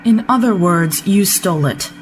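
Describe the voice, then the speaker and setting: A woman's voice: mid-range voice, moderate pace, faint music behind, close to an everyday voice. A woman playing a composed starship officer in a sci-fi audio drama, dry, teasing and unruffled. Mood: wry, patient.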